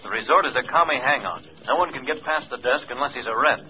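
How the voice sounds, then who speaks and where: A man's voice: mid-range voice, fast talker, lightly acted. An actor playing a firm male agent's handler, terse and urgent, in 1950s radio drama style. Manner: terse, urgent, matter-of-fact. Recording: muffled old radio recording, faint noise.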